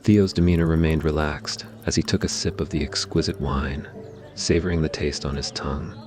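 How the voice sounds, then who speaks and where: A man's voice: rumbling low, steady tone, moderate pace, a little mellow, soft background music. A man narrating a scripted romantic ASMR story.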